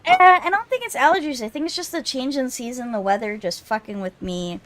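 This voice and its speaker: A woman's voice: sits high, animated, brisk, a bit bright. Phlegmy-throated woman chatting on a livestream. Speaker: streamer hosting a visual novel readthrough. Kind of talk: casual livestream chat.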